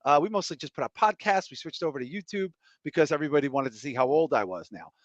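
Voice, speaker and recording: A man's voice: a little high, lively intonation, quick pace, no room sound. A man hosting a casual comedy podcast, cracking crude jokes and plugging the show with energy. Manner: crude joking, enthusiastic show plugs. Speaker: podcast host. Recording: muffled, slightly lo-fi stream audio.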